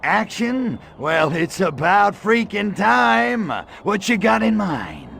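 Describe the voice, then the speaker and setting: A brash little alien invader from a video game, with a raspy, fried edge. He drawls slowly and swoops widely in pitch, tossing out slangy, lecherous wisecracks with total swagger. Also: sing-song, a bit bright, a little hiss or hum, full character voice. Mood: eager, cocky. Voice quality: gravelly. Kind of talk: video game cutscene voice acting.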